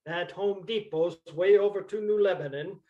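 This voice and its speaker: A man playing a terse, deadpan old farmer from Vermont in an amateur staged play reading. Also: high voice, moderate pace, lo-fi sound, lightly acted.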